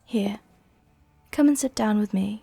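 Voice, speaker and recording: A woman playing a worldly, softly dominant vampire countess in an intimate roleplay ASMR, with a soft, gentle, tenderly commanding way of speaking. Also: medium pitch, unhurried, creaky, slight background noise. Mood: tender, comforting.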